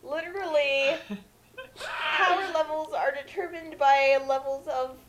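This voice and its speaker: A woman's voice: high-pitched, very animated, unhurried, very noisy. A woman doing casual comedic commentary in an emphatic, incredulous manner.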